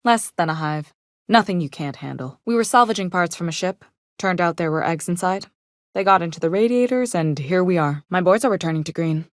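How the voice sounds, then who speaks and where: An actress playing a capable, no-nonsense chief engineer and station captain in a video game, with a measured, dry, businesslike manner. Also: female voice, on the low side, expressive, no echo, lightly acted. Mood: dry, approving. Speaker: game character voice. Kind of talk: video game character dialogue.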